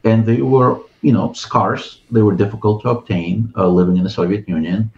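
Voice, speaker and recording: Russian-accented man, low gravelly voice. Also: steady tone, moderate pace, a little mellow, dull audio. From Ukraine. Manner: many filler "uh"s, thoughtful. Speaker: guest, writer and translator. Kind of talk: casual interview talk. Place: home room with bookshelves. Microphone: video call.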